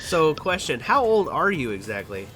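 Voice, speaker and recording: A man's voice: a little high, very animated, normal speed, a crowd in the background. A man of about thirty hosting a casual online interview, chatty and joking, with many fillers. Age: around 30. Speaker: host. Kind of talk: casual chat.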